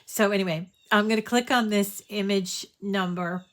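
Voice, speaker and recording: A woman's voice: medium pitch, normal speed. A woman hosting a crafting tutorial, talking in a chatty, rambling way while stuffed up with a cold. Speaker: crafting show host.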